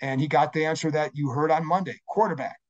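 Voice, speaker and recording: A man with a scratchy, hoarse voice hosting a solo sports podcast, talking conversationally and often correcting himself mid-sentence. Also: fairly high pitch, fairly even intonation, fast, lo-fi sound. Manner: conversational, frequent self-corrections and fillers. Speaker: podcast host.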